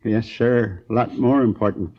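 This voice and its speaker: An American man playing an injured, groggy storekeeper in his sixties, rambling haltingly and earnestly in a 1950s radio crime drama. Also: medium pitch, husky, natural voice. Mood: earnest, weary. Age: 60s. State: groggy, in pain. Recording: dull old 1950s radio recording.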